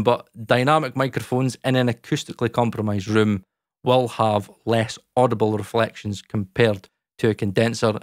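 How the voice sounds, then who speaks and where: Scottish male, 30s, deep boomy tone, relaxed talky explainer delivery, very dry close-miked home studio recording. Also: no echo. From Scotland. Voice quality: dark, boomy voice. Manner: chatty, lots of fillers, talks with his hands. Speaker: YouTube audio educator. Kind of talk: casual explainer talk to camera. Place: small home studio, indoors. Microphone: large dynamic mic close in front.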